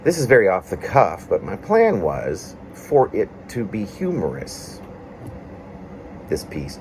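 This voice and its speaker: A man with a very low voice reads his own introspective piece. His phrasing wanders and halts, breaking into short fragments. A faint, steady hum sits behind him. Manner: meandering, halting phrasing with pauses. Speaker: poet reading own work. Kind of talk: reflective reading of a personal essay-poem.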